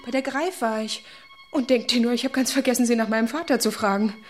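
An actress playing a proud, urgent young stenotypist in a radio play. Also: female voice, a little high, fast, music in the background, lightly acted. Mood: pleading, evasive. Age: young adult.

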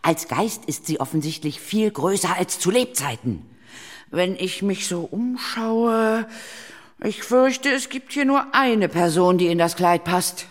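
A sensible, protective chief ghost hunter from a children's radio play. The voice is fairly high and animated, in a long reverb. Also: male voice, a little slow. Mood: brisk.

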